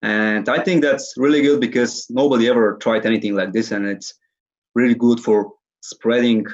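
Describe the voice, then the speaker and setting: A man's voice: medium pitch, steady tone, normal speed, dull audio. A man in his early twenties with a Bulgarian accent, giving casual answers as an interview guest. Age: early 20s. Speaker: interview guest, calisthenics athlete.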